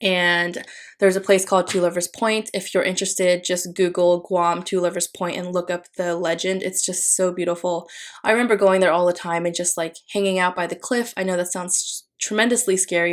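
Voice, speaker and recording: Chatty young woman, somewhat low voice. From Philippines. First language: Tagalog. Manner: chatty, rambling, many fillers and restarts. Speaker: planner vlogger. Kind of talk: casual voice-over while decorating a planner.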